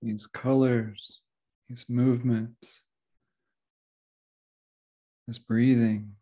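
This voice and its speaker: A man leading a guided meditation as a teacher, speaking gently and unhurriedly with long pauses. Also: medium pitch, flat delivery.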